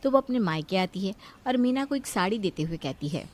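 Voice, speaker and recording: Medium-pitched woman narrating a story. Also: lively intonation, fairly quick, a little mellow.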